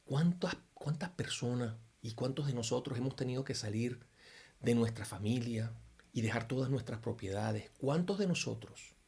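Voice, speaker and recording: Gravelly-voiced Venezuelan preacher man. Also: mid-range voice, animated, moderate pace, darkish tone. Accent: Venezuelan Spanish. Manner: earnest, many fillers and restarts, rhetorical questions. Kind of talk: informal sermon-style reflection.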